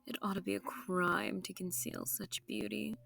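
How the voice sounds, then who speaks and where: A woman's voice: mid-range voice, little pitch movement, moderate pace, creaky, a bit bright. A woman playing a seductive, sadistic vampire noble in an intimate audio roleplay, genteel and teasing with menace, often sinking into whispers. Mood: sultry, admiring. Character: seductive, sadistic, possessive female vampire noble hosting her foe.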